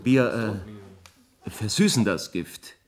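A man's voice: on the low side, animated, slow, a little hiss or hum. A man playing a calculating research professor in a science fiction radio drama, explaining things in a precise, detached lecturing manner. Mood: coolly persuasive. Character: clinical, calculating male research professor, coolly scheming.

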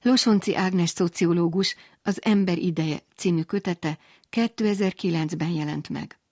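A woman with a fairly low voice presents a radio programme. She delivers scripted introductions and asks interview questions as the host. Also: mellow, breathy edge.

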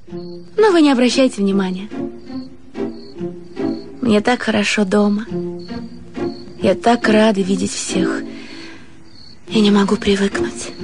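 A woman playing a nervous, yearning young gentlewoman in a radio drama, earnest and hesitant. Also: medium pitch. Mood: nervous, wistful. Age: young adult. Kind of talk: Russian radio literary drama.

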